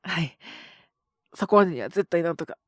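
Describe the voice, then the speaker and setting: A man's voice: a little high, expressive, brisk, no room sound, clean studio sound, close to an everyday voice. A man acting an earnest, polite young junior coworker who is sick with a cold, coughing and sighing in an intimate Japanese voice drama. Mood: polite, grateful. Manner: polite and deferential on the phone, sighing, murmuring to himself.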